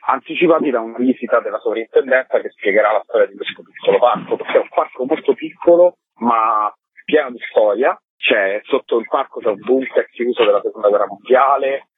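A man's voice: mid-range voice, slightly rough, no echo. A man, a theatre director being interviewed for radio, explaining his project over a phone call. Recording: muffled narrow phone line.

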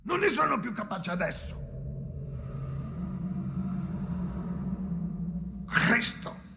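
An actor playing a brooding man haunted by his dead father in an experimental radio drama, theatrical and volatile, moving between muttering and pleading, with a gravelly voice. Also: very high-pitched, sing-song, normal speed, bright, forceful tone, a little hiss or hum, heavily acted. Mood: anguished, defeated.

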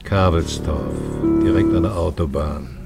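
Deep gravelly old prof, slow and sly, music under it. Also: male voice, steady tone, full character voice. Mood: sly, advising. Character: sly older male professor, slow and gravelly. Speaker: professor. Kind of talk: radio drama acting. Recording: music playing behind.

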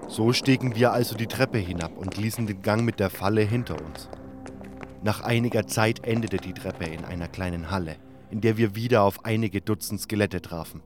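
A man's voice: lowish voice, normal speed. A man narrating a fantasy audio drama from a script as a first-person storyteller. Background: prominent music.

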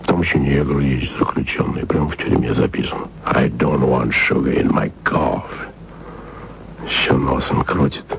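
An actor playing a wry, lovesick male radio journalist who murmurs confiding messages onto tape, often close to a whisper. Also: moderate pace. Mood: intimate. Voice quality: low gravelly murmur, often near a whisper. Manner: intimate, confiding, self-ironic. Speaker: radio man taping messages to a colleague. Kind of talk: radio drama acting. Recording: noisy tape recording.

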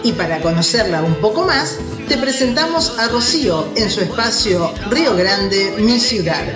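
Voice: medium pitch, expressive, moderate pace, music in the background. A radio host with an Argentine accent, presenting to children in a chatty, explanatory way and addressing the young listeners directly.

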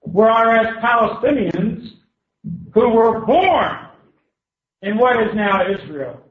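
Southern US man, guest speaker, high pitch, slow measured delivery; thin, phone-like sound in an echoing hall. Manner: declamatory, speaking in short phrases that pause for translation. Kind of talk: public speech given through a consecutive interpreter. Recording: echoey hall, distant tinny mic.

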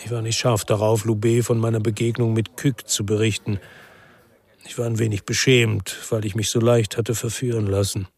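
A man's voice: on the low side, level tone, moderate pace, a little mellow, husky. An actor playing a world-weary ex-policeman who narrates a radio crime drama in a brooding, reflective way.

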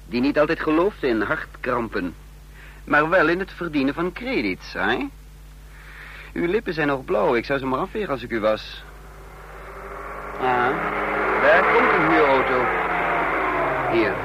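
An actor playing a shrewd secret agent in a radio drama, speaking in a measured, knowing way. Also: male voice, mid-range voice, big pitch swings. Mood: sly. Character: shrewd secret agent narrating his own spy scheme. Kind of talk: radio drama narration and acting. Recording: faintly noisy old radio recording.

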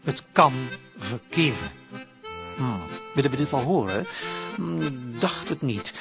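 Radio play narrator: arch, mock-solemn, slow, expressive, over music. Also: male voice, medium pitch, vocal fry. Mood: wry, mock-solemn.

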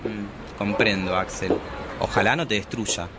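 Low-voiced, lovelorn Argentine poet played by a man. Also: fairly even intonation, unhurried, close to an everyday voice. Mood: lovesick. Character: lovelorn, melodramatic poetic queer soul, self-pitying and jealous. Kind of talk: scripted radio drama monologue. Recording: noticeable background noise.

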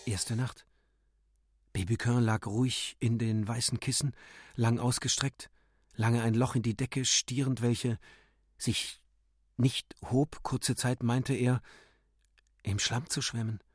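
A man narrating a literary radio drama in a theatrical way, moving between declamation and hushed, measured reading. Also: mid-range voice, little pitch movement, breathy edge, no room sound, clean studio sound.

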